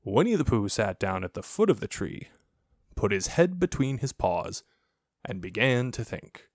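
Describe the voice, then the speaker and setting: A man reading a children's story aloud as an audiobook narrator, expressive and playful in his storytelling. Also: fairly low pitch, moderate pace, dry recording, studio quality. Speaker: audiobook reader.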